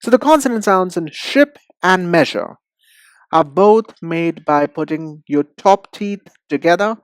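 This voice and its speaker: Male language tutor for lesson narration. Slow, deliberate delivery, expressive mid-range pitch, addresses the learner directly. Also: no echo. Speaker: English pronunciation teacher. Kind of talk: instructional lesson.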